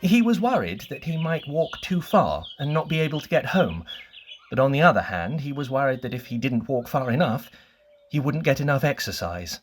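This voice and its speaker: A storyteller narrating a children's bedtime story in an expressive, scripted reading style. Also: mid-range voice, moderate pace, slight background noise.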